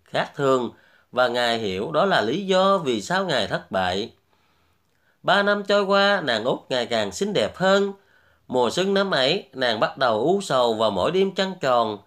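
A man's voice: mid-range voice, fairly bright tone. A man hosting and narrating a fairy tale from a script as a storyteller. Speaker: storyteller, host.

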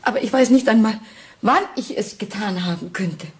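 A woman's voice: mid-range voice, expressive, normal speed, close to an everyday voice. An actress playing a fragile, anxious wife in a radio play, pleading and distraught with her husband. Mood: hurt, pleading. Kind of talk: German radio play acting.

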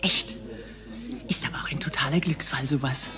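A woman's voice: very deep voice, normal speed, over music. A woman playing a teasing, sceptical TV company employee in a naturalistic German radio play, chatty and wry. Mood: wry, gossipy.

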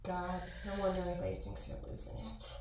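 A woman's voice: fairly low pitch, sing-song, fast talker, darkish tone. A woman playing a sly, seductive, mocking mirror alter ego in an ASMR roleplay, cooing and teasing. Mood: scornful. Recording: echoey, distant-sounding voice.

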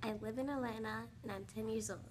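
A woman's voice: fairly high pitch, fairly even intonation, quick pace, slight background noise, low-quality recording. A young woman giving a careful, recited self-introduction into a phone camera at home. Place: indoors, at home.

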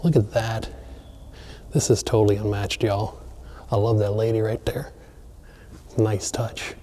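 Excited man touring an empty mansion. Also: on the low side, a little slow, slight background noise. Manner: excited, chatty, addresses viewers. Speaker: abandoned places explorer. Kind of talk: casual walkthrough narration. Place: large empty mansion, indoors.

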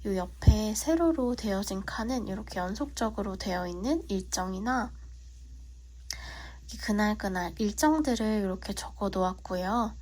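Soft-spoken young woman with a cold. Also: mid-range voice, normal speed. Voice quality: husky, slightly whispery from a cold. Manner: soft, polite, relaxed explaining with frequent fillers. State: has a cold. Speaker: vlogger. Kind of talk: casual tutorial walkthrough. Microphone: phone.

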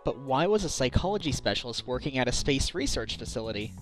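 A man's voice: mid-range voice, lively intonation, fast talker, natural voice. A man voicing an earnest, caring defense lawyer in a video game fan dub, with an inquisitive manner. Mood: puzzled. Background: game music.

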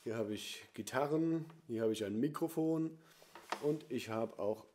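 A man's voice: mid-range voice, measured pace, mellow. A man in his thirties from southwest Germany with a Kurpfalz accent, a singer-songwriter introducing himself casually to camera from a small home studio. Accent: Kurpfalz (Palatinate) German. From Ludwigshafen area, southwest Germany. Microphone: studio microphone on a stand in front of him.

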